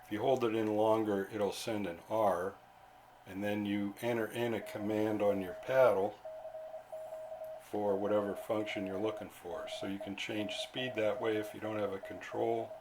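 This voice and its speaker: A man giving a casual, off-the-cuff show-and-tell as a radio hobbyist, pausing mid-phrase as he goes. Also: lowish voice, level tone. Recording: clearly noisy homemade video audio.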